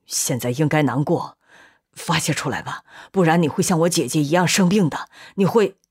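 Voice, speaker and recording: A woman reading an audiobook as a guilt-ridden, numb young man who speaks in a low, subdued, level way. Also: normal speed, a little mellow, breathy edge. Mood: urgent. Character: guilt-ridden, numb young Englishman confessing and urging his friend.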